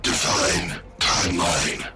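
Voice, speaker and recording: A man voicing an ancient war-machine AI, speaking in terse, flat commands through a processed machine voice. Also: low-pitched, slow, background noise. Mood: cold, commanding.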